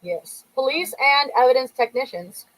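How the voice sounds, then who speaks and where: A woman's voice: sits high, lively intonation, normal speed, fairly bright tone, rough recording. A woman co-hosting a casual livestream, reading a true-crime account aloud and laughing often while she greets viewers. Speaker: co-host.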